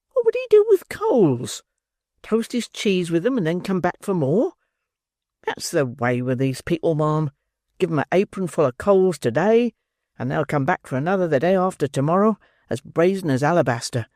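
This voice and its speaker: A reader voicing a pompous, self-important male parish beadle who lectures in a lively, rising voice. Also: medium pitch, dry recording, clean studio sound, pitched above the performer's own voice.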